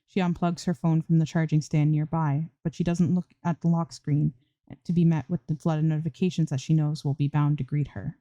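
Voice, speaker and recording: Mellow low-voiced woman reading a story. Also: level tone, fairly quick, no room sound, muffled recording. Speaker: fan fiction reader. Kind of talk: scripted story narration, audiobook reading.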